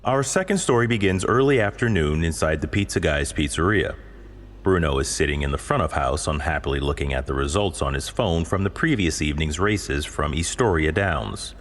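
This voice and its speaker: A man's voice: a bass voice, expressive, normal speed. A man delivering scripted story narration.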